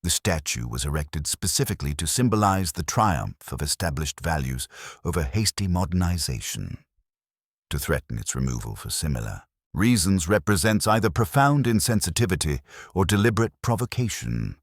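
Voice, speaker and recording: A man's voice: deep voice, lively intonation, moderate pace, no echo, clean studio sound. A man playing a scholarly, disapproving town historian in an audio mystery, with formal, pointed speech. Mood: dryly disapproving.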